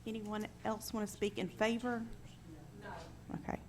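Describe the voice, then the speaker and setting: A woman chairing a county meeting, briskly calling speakers to the podium. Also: mid-range voice, level tone, background noise. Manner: brisk, procedural.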